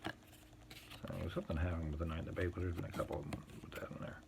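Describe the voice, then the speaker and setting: A man casually showing a collection on an unscripted video, reading items out in short, halting phrases with muttered asides. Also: deep voice, steady tone, creaky, background noise. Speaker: collector showing vintage baseball cards. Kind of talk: casual unscripted show-and-tell, reading out cards.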